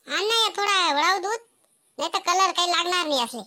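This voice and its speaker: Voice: extremely high pitch, expressive, fairly quick, bright, forceful tone, full character voice. A performer voicing an eager, obedient young farm boy in a comedy cartoon, with an Ahirani accent. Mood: eager, helpful.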